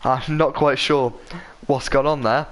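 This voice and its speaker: A man calls an online racing league live, his voice a little croaky from a sore throat. He talks at a middling pitch, rambles between moments of action and corrects himself often, filling the gaps with ums and uhs. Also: fairly even intonation, unhurried. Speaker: race commentator. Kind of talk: live sim-racing commentary.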